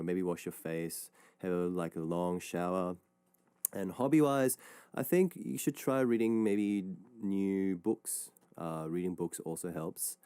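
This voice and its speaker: A man's voice: low-pitched, clean tone, dry recording. A man, hosting a radio show and chatting casually between listener messages, rambling with lots of fillers. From Australia. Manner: relaxed, rambling, many fillers and restarts. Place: radio studio. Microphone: studio microphone.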